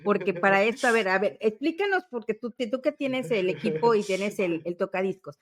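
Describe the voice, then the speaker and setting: A woman's voice: mid-range voice, expressive, moderate pace. A middle-aged woman chatting casually as a podcast co-host, reacting readily and explaining things in detail. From Mexico. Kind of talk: casual nostalgic chat.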